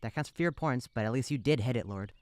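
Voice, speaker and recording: A man's voice: medium pitch, fast talker, background noise, natural voice. A man playing a formal herald who officiates an archery contest in an audio drama. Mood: formal, announcing. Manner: formal, announcing.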